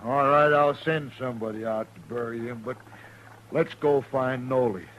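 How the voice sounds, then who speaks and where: Guy acting grumbly frontier dealer, country american accent, medium pitch, pushy, old radio western w faint noise. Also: fairly even intonation, normal speed, highs cut off, lightly acted. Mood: accusing. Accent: rural American. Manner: folksy, insistent. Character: gruff, grumbling, insistent frontier bone-and-hide dealer. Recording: lightly noisy old radio recording.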